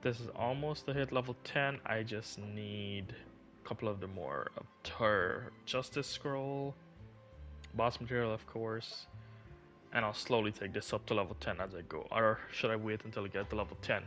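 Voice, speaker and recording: A man with a fairly low voice chats casually as he streams a video game. Clear music plays behind him as he comments on the gameplay. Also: normal speed, fairly bright tone.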